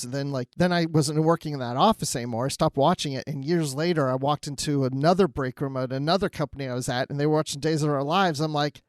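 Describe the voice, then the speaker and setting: Higher-voiced man chatting casually on a podcast. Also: no echo, studio quality. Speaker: podcast co-host. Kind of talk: casual chat.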